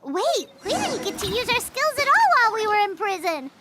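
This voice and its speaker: A woman voicing a tiny, excitable childlike companion in a fantasy game, squeaky and bubbly. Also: moderate pace, heavy background noise. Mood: whiny. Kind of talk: cartoonish game voice acting. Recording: long reverb, loud music behind.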